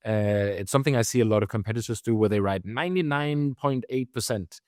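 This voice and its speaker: A man's voice: mid-range voice, brisk, darkish tone, no echo, studio quality. A young man with a Danish accent, speaking as a guest in a conversational interview, with frequent hesitations and restarts. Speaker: guest, company founder.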